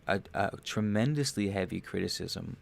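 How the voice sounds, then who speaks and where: A young man hosting a livestream, rambling casually about spiritual ideas, restarting phrases, swearing loosely and getting animated on big points. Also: sits low, moderate pace, creaky, slight background noise. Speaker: podcast host.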